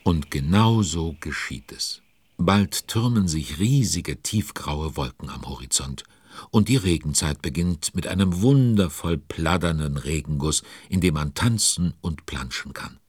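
A man's voice: a bass voice, expressive, measured pace, a little mellow, slightly rough, no echo, full character voice. A man narrating a children's audio story, chatty and speaking directly to young listeners.

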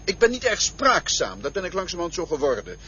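An actor in an absurdist radio play, playing an evasive, self-effacing traveller with a formal, contrary manner. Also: male voice, a little high, wildly swooping pitch, quick pace, pushed and bright, slight background noise, exaggerated voice. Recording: muffled old radio drama recording.

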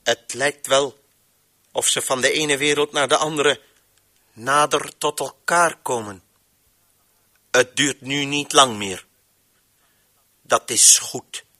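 An actor playing a stern, brooding master of voices in a radio play, commanding and deliberate, with theatrical pauses. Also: male voice, mid-range voice, bright, forceful tone. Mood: measured, satisfied. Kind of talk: radio play monologue, declamatory.

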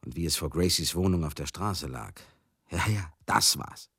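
An actor in a radio drama monologue, playing a garrulous, hard-drinking older showman who grieves as he recalls a lost friend, husky, halting and confiding. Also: very deep voice, lively intonation, a bit bright, no room sound, studio quality, heavily acted. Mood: agitated recounting. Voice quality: husky, gravelly. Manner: halting, rambling, confiding, asks listeners questions.

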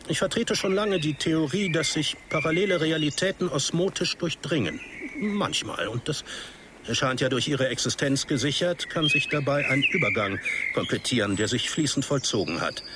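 An actor in a radio drama playing a withdrawn older researcher who expounds eerie theories in a halting, musing, theatrical way. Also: male voice, fairly high pitch, lively intonation, very noisy, natural voice. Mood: musing, intense.

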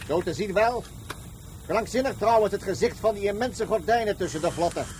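A man's voice: high-pitched, fairly quick, a little mellow, slight background noise, lightly acted. An actor playing a loyal fleet crewman in a radio drama, speaking in plain, conversational remarks. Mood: impressed, steady.

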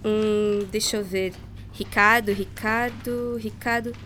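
A woman's voice: medium pitch, fairly even intonation, slow pace, fairly bright tone, slight background noise. A woman playing a chatty, mildly scolding school secretary in a radio drama, muttering familiarly. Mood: busy, familiar.

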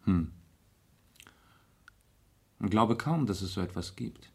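A composed gentleman detective of noble birth in a radio play. His voice is very low, with a gravelly, husky grain. He answers tersely, measured and reserved. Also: lively intonation, heavily acted. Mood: detached, musing. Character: composed aristocratic gentleman detective, quietly curious. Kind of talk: German radio crime drama acting.

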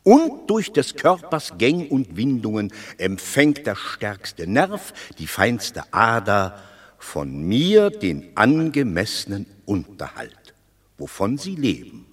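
An actor playing a wily, eloquent Roman patrician in a radio drama, theatrical and rhetorical, placating rebels with fables. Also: male voice, lowish voice, huge pitch swings, husky, exaggerated voice. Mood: measured, persuasive. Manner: theatrical, deliberate, rhetorical, swinging from hushed to declaiming. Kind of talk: classical German stage-style radio drama acting. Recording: long reverberant hall.

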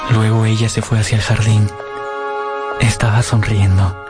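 A man's voice: fairly low pitch, little pitch movement, moderate pace, close to an everyday voice. An actor playing an earnest, lovesick young man who narrates his romance in a radio drama. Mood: wistful, narrating. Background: prominent music.

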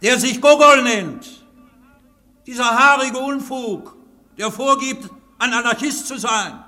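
A man's voice: very high-pitched, slow, sharp tone, exaggerated voice. An actor playing a commanding, sardonic president of anarchists in a radio play, theatrical and biting in his delivery. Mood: menacing, commanding. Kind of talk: German radio play acting. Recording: long hall-like reverb.

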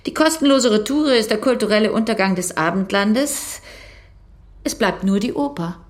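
Actress playing an opinionated, scornful woman, medium pitch. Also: normal speed, lightly acted. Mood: emphatic, lofty. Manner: dismissive, emphatic, repeats her verdicts. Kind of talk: radio play dialogue.

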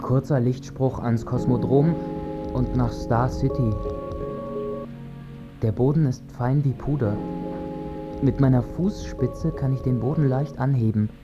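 Actor as incantatory moon narrator, dull recording. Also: male voice, mid-range voice, measured pace, pauses often, over loud music, natural voice. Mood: incantatory, solemn. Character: male narrator musing like an astronaut on the moon. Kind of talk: literary radio-play monologue.

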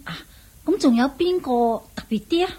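A woman's voice: sits high, animated, normal speed, warm tone. A woman with a Hong Kong Cantonese accent playing a motherly, scheming palace cook in a radio drama, chatty and coaxing.

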